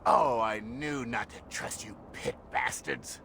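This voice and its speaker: An actor playing an impatient, foul-mouthed slave trader in a video game, brash, blunt and irritated. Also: male voice, medium pitch, slow, gravelly, music in the background. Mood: surly, impatient. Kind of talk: video game character dialogue.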